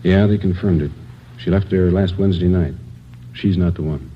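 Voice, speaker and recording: An actor playing a matter-of-fact police officer in a 1950s radio drama. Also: male voice, low voice, normal speed, a little hiss or hum, close to an everyday voice. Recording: dull old radio broadcast.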